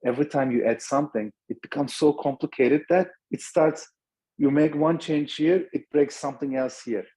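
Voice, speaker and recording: A man's voice: on the high side, normal speed, dark tone, slightly rough. A middle-aged man with a Turkish accent giving a webinar talk, thinking aloud with many restarts. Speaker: startup founder presenting.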